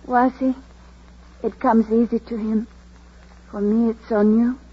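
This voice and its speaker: An actress in a vintage radio drama playing a gentle, self-doubting young woman with a hesitant, earnest way of talking. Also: fairly high pitch, flat delivery, a little slow, husky, muffled recording. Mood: happy, wistful. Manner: soft-spoken, hesitant, earnest. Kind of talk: 1940s radio drama acting.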